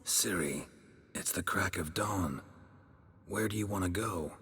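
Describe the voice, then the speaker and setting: A man voicing a gruff middle-aged monster-hunter in a video game, with a North American accent, a gravelly rasp and a terse, deadpan delivery. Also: low-pitched, a little slow, big-room sound. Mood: groggy, puzzled. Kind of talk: video game cutscene acting.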